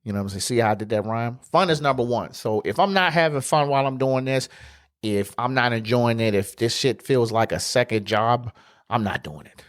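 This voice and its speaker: A man, hosting a casual solo sports podcast in his home studio, stuffy and congested, talking in a relaxed, slangy way. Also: medium pitch, brisk. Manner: relaxed, conversational, loose slang. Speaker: podcast host.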